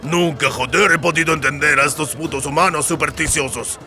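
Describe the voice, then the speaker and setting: A man voicing gruff, sardonic dwarf soldiers in a fantasy audiobook, blunt and given to swearing. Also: a little high, fairly even intonation, fairly quick, pushed and bright, soft background music. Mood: scornful. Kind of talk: dramatised fantasy audiobook dialogue.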